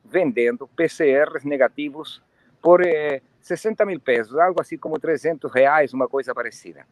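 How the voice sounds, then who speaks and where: Male correspondent, slightly high voice, rambling and chatty on a phone. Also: no room sound. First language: Spanish. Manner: chatty, laughing, meandering asides. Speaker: foreign correspondent. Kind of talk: live commentary by phone.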